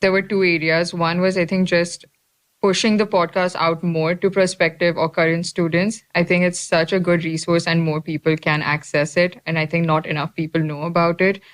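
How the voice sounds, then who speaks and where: A woman's voice: lowish voice, level tone, moderate pace. A young woman, an MBA student co-hosting a podcast, who lays out her ideas point by point in a thoughtful, casual way.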